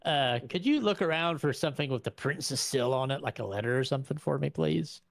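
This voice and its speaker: A man voicing a pious old male vampire, fussy and flattering, who stammers and repeats words in a tabletop game. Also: mid-range voice, a little fast, vocal fry, dry recording, clean studio sound. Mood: fumbling, fussy.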